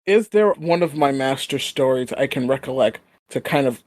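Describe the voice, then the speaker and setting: A man's voice: mid-range voice, expressive, moderate pace, darkish tone, dry recording. A man talking casually as a player at a tabletop role-playing game.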